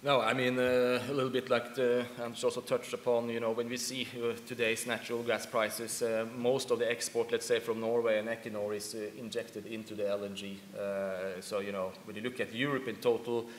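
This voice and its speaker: A man speaking as a conference panelist, halting and full of fillers. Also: medium pitch, flat delivery. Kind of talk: panel discussion answer. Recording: echoey conference hall, faint hiss.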